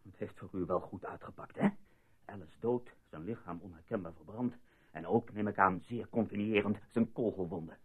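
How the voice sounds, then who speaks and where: An actor playing a distraught, sarcastic and accusing male patient in a radio drama, with a husky voice. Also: fairly low pitch, moderate pace. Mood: accusing, agitated. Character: distraught, defiant male patient who suspects a cover-up. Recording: muffled lo-fi old radio recording.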